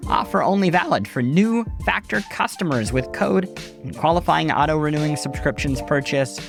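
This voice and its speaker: A male podcast host with a slightly high voice and very lively intonation that swings up and down. He delivers scripted narration clearly and with emphasis, with music audible at times behind him. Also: normal speed.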